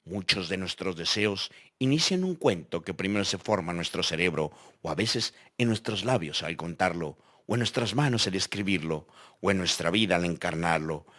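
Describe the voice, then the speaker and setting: A man plays a philosophical thinker with a lowish voice. He speaks reflectively in aphorisms, as one voice in a scripted audio dialogue. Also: fairly bright tone.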